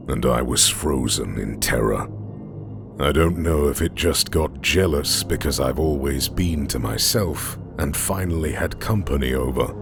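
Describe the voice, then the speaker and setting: A man reading scripted horror stories as a narrator, in a deliberate, suspenseful way with drawn-out phrase endings. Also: a bass voice, vocal fry. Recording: music under the voice. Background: background music.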